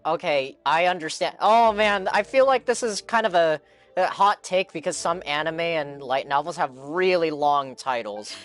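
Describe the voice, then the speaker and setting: A male game streamer with a somewhat high-set voice for a man, chatting casually as he reacts live. Faint game music plays underneath. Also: animated, normal speed, fairly bright tone.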